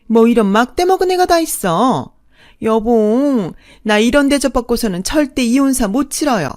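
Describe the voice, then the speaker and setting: A woman's voice: darkish tone, no echo, studio quality, lightly acted. A woman voicing a haughty, spiteful middle-aged woman who mocks and coos in a dramatised family story. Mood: indignant, whiny. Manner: mocking, cooing at her man, shrill in outrage.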